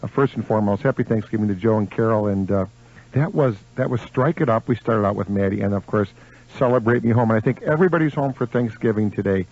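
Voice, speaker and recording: A man's voice: fairly low pitch, fast talker, a little mellow. An American man hosting a live local radio show in a folksy, rambling way, repeating phrases for emphasis. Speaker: radio host. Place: radio studio. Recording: muffled lo-fi AM radio recording.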